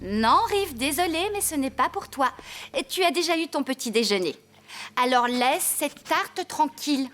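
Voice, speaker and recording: A high-pitched, kindly farm woman in a children's cartoon. She is affectionate and encouraging, speaking with open enthusiasm and expressive phrasing. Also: moderate pace, soft background music. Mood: gently scolding.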